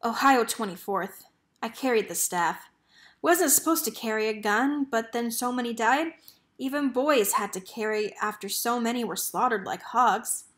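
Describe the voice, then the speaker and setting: A modest young wounded soldier from a farm, voiced by a woman. This storybook boy speaks plainly and hesitantly, in a higher register. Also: normal speed, fairly bright tone, natural voice. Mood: subdued, frank.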